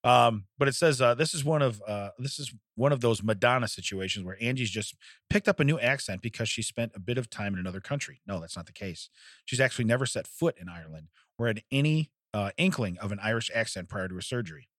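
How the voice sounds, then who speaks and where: Animated man in his forties, dry close sound. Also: medium pitch, quick pace, no echo. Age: 40s. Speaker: comedy podcast co-host. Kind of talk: casual comedic chat, reading out a news story.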